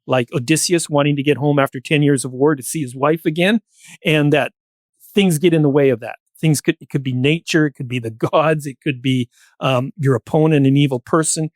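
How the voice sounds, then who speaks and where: A man's voice: on the high side, fairly even intonation, fairly quick, dry recording. Man explaining ideas, close dry sound. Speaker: podcast guest, playwright and actor. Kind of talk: thoughtful panel discussion.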